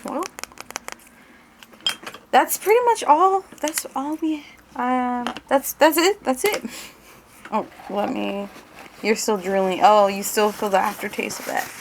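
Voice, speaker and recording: Creaky-voiced woman, casual ASMR roleplay. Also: on the high side, slow pace, long pauses, noisy background. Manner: casual, hesitant, talking through each step. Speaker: ASMR creator playing an examiner. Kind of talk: ASMR roleplay in normal speaking voice.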